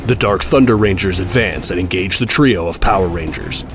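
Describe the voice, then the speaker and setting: A deep-voiced man reads scripted action narration as the storyteller of an audio drama. Loud music runs underneath his voice. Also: normal speed.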